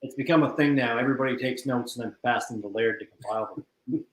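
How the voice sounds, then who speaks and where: Man, wry guest, quick talker, echoey room. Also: mid-range voice. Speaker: guest, treasure hunt crew member. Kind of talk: casual chat.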